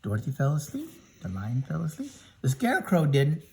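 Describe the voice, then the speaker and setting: A man reading a children's story aloud, in an expressive way with chatty asides. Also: a little high, a little fast, vocal fry. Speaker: storybook reader.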